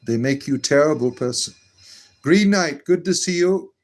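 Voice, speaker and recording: A man's voice: on the high side, lively intonation, moderate pace. A man hosting a live stream, reading viewer comments aloud and answering them in a meandering, chatty way. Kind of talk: casual live-stream chat.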